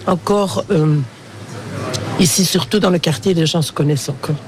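Older Flemish woman, halting speech, faint street noise. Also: fairly low pitch. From Belgium. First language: Dutch. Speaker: interviewee, local resident. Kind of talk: casual street interview.

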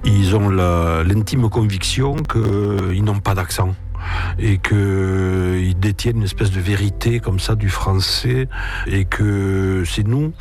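Man, southern French accent, low voice, hesitant, music behind. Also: takes it slowly, a little mellow. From southern France. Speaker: interviewee. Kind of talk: reflective interview talk.

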